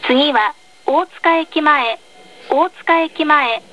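High-pitched woman's tram announcement. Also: very slow, pushed and bright, highs cut off. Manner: polite, carefully enunciated. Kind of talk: scripted recorded onboard announcement. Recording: noisy field recording of tram speaker. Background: tram running noise.

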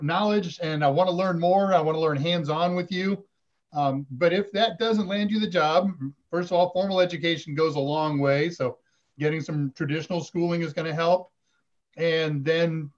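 An older man hosting a live Q&A stream over a video call from home, chatty and relaxed, reading viewer questions aloud. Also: lo-fi sound. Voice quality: fairly high voice. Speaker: host. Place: home room with curtains. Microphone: video call webcam.

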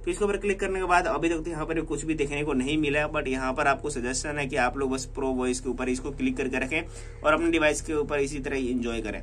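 Fast-talking Indian man, higher-pitched voice. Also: fairly bright tone, a little hiss or hum. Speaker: tech vlogger demonstrating a phone feature. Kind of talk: casual hands-on tutorial narration.

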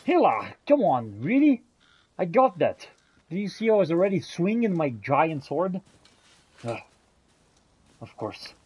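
A man roleplaying a bossy, weary fantasy warrior in a video game, improvising theatrically with a husky voice. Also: a little high, big pitch swings, unhurried, long pauses, a little mellow, a little hiss or hum, pitched above the performer's own voice. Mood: exasperated. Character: male fantasy warrior, weary, bossy, exasperated with his companion. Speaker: gamer roleplaying as his character.

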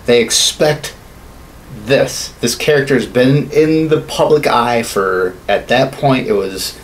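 A man's voice: mid-range voice, slow. A man in his thirties co-hosting a podcast in a casual, opinionated chat, rambling and swearing often. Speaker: podcast co-host. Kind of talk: casual opinionated chat about films and games. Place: small home studio room, indoors. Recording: clear background room noise.

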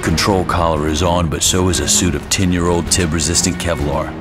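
An American actor playing a battered, defiant army captain in a game motion comic, terse and bitter. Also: male voice, sits low, steady tone, fairly quick, over loud music, lightly acted. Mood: grim, determined.

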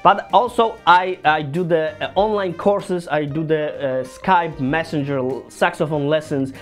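Polish man talking saxophones, halting English. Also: on the high side, a bit bright, soft background music. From Poland. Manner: earnest, halting non-native English with many fillers. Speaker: saxophone teacher. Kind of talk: casual gear review to camera. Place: small indoor home studio.

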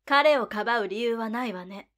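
A woman's voice: a little high, moderate pace, a bit bright, lightly acted. A woman playing a cool, alluring, defiant woman in a voice drama, with a teasing, condescending manner. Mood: cool, challenging. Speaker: voice actor. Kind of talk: anime-style voice drama acting.

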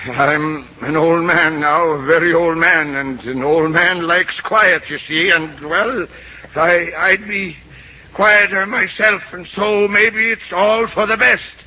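Emotional old lighthouse keeper, bright forceful voice. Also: male voice, fairly high pitch, fairly even intonation, slow, exaggerated voice. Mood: weary, halting. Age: older. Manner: old-fashioned seafaring speech, emotional. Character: old lighthouse keeper, gruff, proud, loving, tormented. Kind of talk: 1930s-40s radio drama acting. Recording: noisy vintage radio drama recording.

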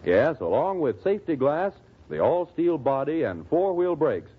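A man working as a radio announcer, reading in an old-time sponsor-pitch style. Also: big pitch swings, normal speed, slightly rough. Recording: tinny, band-limited old radio recording.

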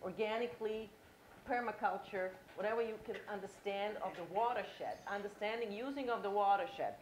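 A woman's voice: mid-range voice, moderate pace, slight background noise. A middle-aged woman from Croatia with a Croatian accent, giving a conference talk in a lecture hall and asking the audience questions. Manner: engaging, asks the audience questions.

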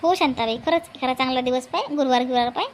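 A woman's voice: high voice, racing, slight background noise, natural voice. A woman voicing chatty, homely Marathi village women in a family comedy cartoon. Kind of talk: animated family comedy dialogue.

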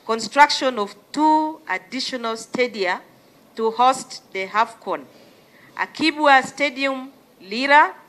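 A middle-aged woman reads a formal statement in a measured, declarative way at a desk gooseneck mic. Her voice is bright and a little high, in a committee room. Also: rough recording. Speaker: member of parliament reading a statement.

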